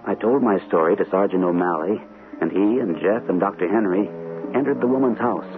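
Captain male actor: low voice, earnest, rattled, old-time radio drama, tinny vintage sound. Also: fairly quick, close to an everyday voice. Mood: weary, relieved. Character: troubled army captain, a sanitarium patient, earnest and shaken. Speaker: radio actor, also narrating in character. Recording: old radio broadcast, narrow tinny band, hissy.

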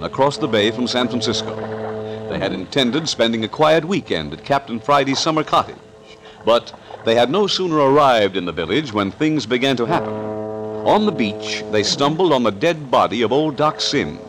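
A man narrating a suspense serial as announcer, with a dramatic, deliberate 1940s radio delivery. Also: mid-range voice, expressive, lightly acted, low-quality recording.

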